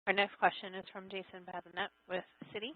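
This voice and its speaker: A woman's voice: mid-range voice, level tone, fast talker, no echo. A woman making formal announcements as a conference call operator. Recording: dry, narrow telephone audio.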